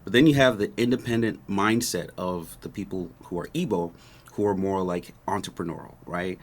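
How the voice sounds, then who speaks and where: A middle-aged man from Nigeria, a native Yoruba speaker, explaining history calmly in an interview and often checking in with "right?". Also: deep voice, moderate pace. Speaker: guest, rapper. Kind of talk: relaxed explanatory interview talk.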